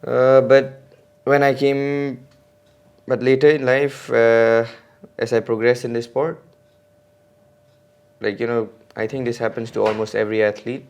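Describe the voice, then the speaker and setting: A man's voice: mid-range voice, little pitch movement, unhurried, long pauses, darkish tone, dull audio. A man, a guest on a podcast, talking casually and hesitantly with many fillers and restarts. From India. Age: 20s. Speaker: podcast guest, mountain bike racer.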